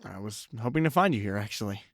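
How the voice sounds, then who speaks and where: Audio drama lead: male actor as regretful, lovesick ex, earnest and halting, pleading delivery, dry close recording. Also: on the low side, expressive, no room sound. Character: remorseful, lovesick cheating ex-boyfriend, pleading earnestly.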